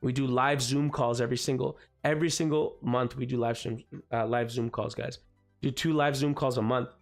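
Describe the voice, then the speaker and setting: A man with a slightly raspy voice from the cold hosting a live trading stream, chatting casually and filling gaps with "guys" and "you know what I mean". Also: mid-range voice, steady tone, moderate pace. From Canada. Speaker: forex trading livestream host. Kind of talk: live market commentary. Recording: faint music behind.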